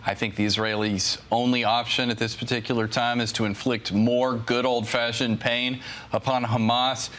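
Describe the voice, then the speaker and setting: A man's voice: mid-range voice, level tone, moderate pace, a bit bright. An American man speaking as a national security analyst, giving unscripted commentary as a news guest.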